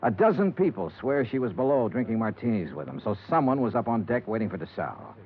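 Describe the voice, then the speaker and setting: An American actor playing a terse, skeptical, world-weary insurance investigator in a 1950s radio detective drama. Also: male voice, medium pitch, sing-song, fast talker, lightly acted. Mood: suspicious. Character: hard-boiled, skeptical male insurance investigator. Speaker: lead radio actor and narrator. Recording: old radio recording, narrow band, hissy.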